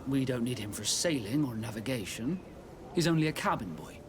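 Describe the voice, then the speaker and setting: A male actor voices a middle-aged ship's captain for a video game. He is formal and stern, choosing measured words with authority. Clear background noise sits behind the voice. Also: mid-range voice, animated, lightly acted. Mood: formal, firm. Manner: formal, measured, commanding phrasing. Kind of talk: video game dialogue acting.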